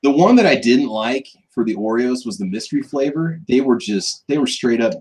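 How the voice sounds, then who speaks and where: A man's voice: medium pitch, animated, brisk, background noise. A man co-hosting a live food review stream, chatting in a laid-back, easygoing way, laughing often and trailing off. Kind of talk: casual live-stream chat.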